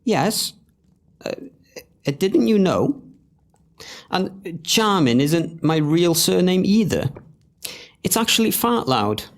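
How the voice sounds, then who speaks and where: A man's voice: high-pitched, big pitch swings, takes it slowly, pauses often, exaggerated voice. A man playing an earnest, bumbling young prince in an audio pantomime, hesitant and prone to stammer. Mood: earnest, tender.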